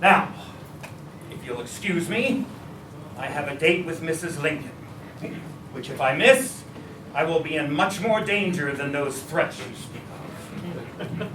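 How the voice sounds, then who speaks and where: A man playing a principled, weary president in a stage musical reading. His voice is high and he speaks slowly and deliberately. It carries from a distance across an echoey room. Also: background noise, natural voice. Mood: resolute. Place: public staged reading in a restaurant.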